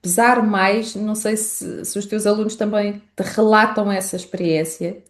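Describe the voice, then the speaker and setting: A woman's voice: mid-range voice, steady tone, normal speed. A woman, a podcast host and language teacher interviewing in a conversational style. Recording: roomy, echoey sound.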